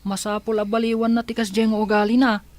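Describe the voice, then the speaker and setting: A young man plays an earnest son with an Ilocano accent, talking softly and with concern. His voice is mid-pitched and sounds dry and close. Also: flat delivery, brisk, no room sound, lightly acted. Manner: soft, concerned. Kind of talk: Ilocano audio drama.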